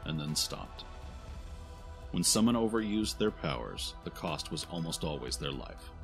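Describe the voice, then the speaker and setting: A man narrating a scripted fantasy audio drama in a solemn, deliberate way. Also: low-pitched, fairly bright tone. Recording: faint music behind the voice.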